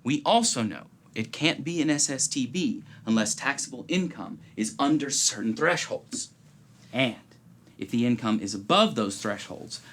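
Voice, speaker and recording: An actor playing a dry, clipped private investigator in a film-noir style skit, with a gravelly drawl. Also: male voice, mid-range voice, a bit bright. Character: hard-boiled male private eye, clipped and dry. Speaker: detective lead in a tax explainer skit.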